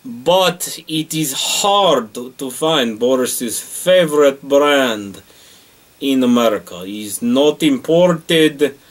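A man's voice: mid-range voice, animated, slow pace, far from the mic. A man playing a boastful, jokey Russian character in a comedy monologue, speaking broken English with a Russian accent and calling himself by name. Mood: deadpan boasting. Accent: Russian (put on). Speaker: comedic character rating things.

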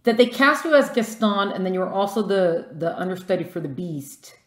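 A woman hosting a podcast with a bubbly, excitable manner, often laughing. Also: medium pitch, a little fast, echoey room. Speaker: podcast host.

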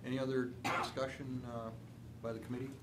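A man's voice: mid-range voice, little pitch movement, fast talker, heavy background noise. A man chairing a city council meeting, brief and procedural as he calls motions. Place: council chamber.

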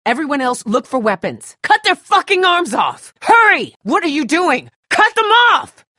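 A woman's voice: sits high, very animated, unhurried, pushed and bright, no echo, studio quality, full character voice. A woman playing a tough, blunt but caring survivor of an apocalypse in a dramatic video game. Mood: firm, urgent, then frantic, commanding. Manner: blunt, urgent, no-nonsense.

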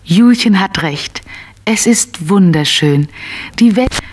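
A woman narrating a scripted children's tale in an expressive, simple storytelling manner. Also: mid-range voice, slow pace, slight background noise.

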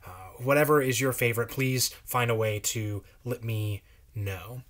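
A man's voice: medium pitch, moderate pace, fairly bright tone. A man in his thirties casually addressing children as a read-aloud presenter, in a small room.

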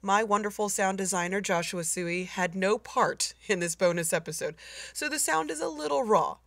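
A woman with a mid-pitched, creaky voice reads a podcast introduction. It is scripted and recorded close and dry. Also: moderate pace, no echo. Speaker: podcast creator giving an introduction.

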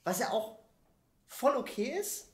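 A man's voice: extremely high pitch, sing-song, full character voice. Man playing a hesitant, overthinking jogger, roomy sound. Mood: hesitant, confiding. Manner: halting, rambling, keeps starting over. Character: hesitant, overthinking, admiring male amateur jogger. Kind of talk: improvised comedy radio play. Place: dark curtained theatre stage. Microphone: stand microphone.

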